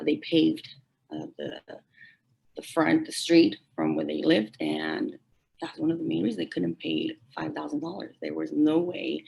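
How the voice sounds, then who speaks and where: A hoarse woman in her forties from Mexico, a native Spanish speaker and Spanish teacher, telling her life story in a halting way and often restarting and repeating phrases. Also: low voice, darkish tone, slight background noise, poor audio. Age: 40s. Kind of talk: personal story told at a forum.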